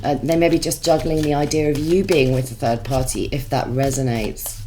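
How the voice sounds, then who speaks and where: A woman with a congested voice, chatting through a tarot reading with many musing pauses and restarts. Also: low voice, level tone, mellow, slight background noise. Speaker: tarot reader.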